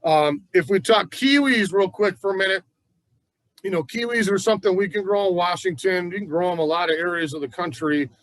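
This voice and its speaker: A man's voice: high voice, fairly quick, fairly bright tone, no room sound, low-quality recording. A man from the Pacific Northwest giving a chatty, folksy gardening class at a plant nursery. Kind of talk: casual gardening class talk.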